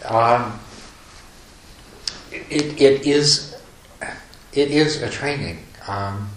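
A man giving a meditation talk to a retreat group, talking loosely with many restarts and soft chuckles. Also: medium pitch, drawn-out words. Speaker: meditation teacher. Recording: echoey room, faint noise.

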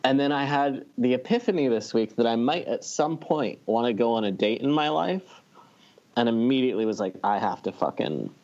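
A man's voice: mid-range voice, expressive, a little fast, a little mellow, lo-fi sound. A man talking casually with friends as a podcast co-host, with a wry, self-deprecating manner and some swearing. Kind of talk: casual chat among friends.

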